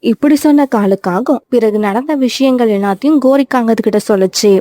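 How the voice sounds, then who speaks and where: A woman narrating a children's cartoon story in a clear, even storytelling manner. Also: fairly high pitch, fairly quick, no echo, clean studio sound.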